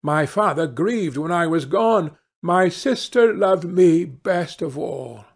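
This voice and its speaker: A man voicing a proud magical bird in a fairy tale, chanting in a sing-song way with drawn-out calls. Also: a little high, animated, creaky, heavily acted. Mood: plaintive, proud. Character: proud, magical singing bird, bargaining and bright.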